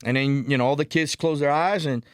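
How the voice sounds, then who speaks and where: A middle-aged Cuban man speaks with a strong Cuban Spanish accent, fairly high in pitch and full of emphasis. He tells his stories animatedly, repeating words and calling out "brother." The sound is dry and close to the mic. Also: brisk, no room sound. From Cuba. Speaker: interview guest. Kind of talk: podcast interview storytelling.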